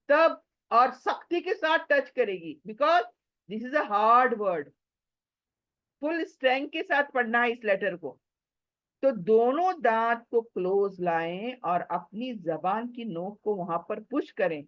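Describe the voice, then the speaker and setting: A woman teaching Quranic recitation, sounding out letters over and over and explaining them step by step, with English phrases mixed in. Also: on the high side. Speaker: tajweed teacher. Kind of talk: slow explanatory recitation lesson. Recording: dull lo-fi recording.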